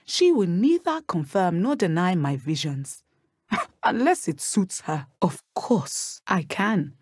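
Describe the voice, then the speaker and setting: Husky, dignified professor of divination. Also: female voice, lowish voice, darkish tone, no room sound, studio quality, exaggerated voice. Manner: measured, formal, enunciated phrasing. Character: dignified, caring middle-aged female divination professor, wise seer. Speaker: video game voice actor playing a professor. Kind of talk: scripted game dialogue.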